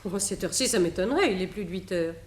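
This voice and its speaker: An actress playing a brisk, polite but insistent secretary in a French radio detective drama. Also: female voice, mid-range voice, animated, reverberant, lightly acted.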